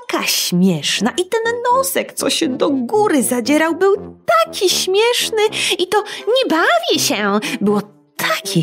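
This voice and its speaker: Slow, sing-song woman storyteller. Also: sits high, vocal fry. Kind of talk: children's audiobook narration.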